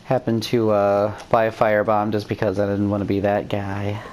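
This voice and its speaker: A woman's voice: rumbling low, little pitch movement, moderate pace, darkish tone, muffled recording, a little hiss or hum. A woman hosting a gameplay video, talking in casual, rambling asides as she plays.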